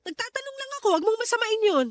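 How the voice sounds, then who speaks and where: A man's voice: very high voice, lively intonation, very fast, a bit bright, no echo, voice pushed up. A man voicing a hurt, protective young mother with pleading, accusing questions in a Tagalog radio drama. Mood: accusing, upset.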